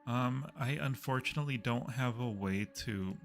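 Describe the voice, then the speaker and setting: A man's voice: medium pitch, moderate pace. A man hosting a casual game livestream, chatting playfully and directly with his viewers. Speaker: livestream host. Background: background music.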